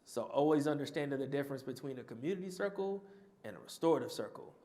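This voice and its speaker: A man's voice: medium pitch, animated, quick pace, mellow. A man on a panel answering an audience question, talking conversationally with frequent restarts and repeats.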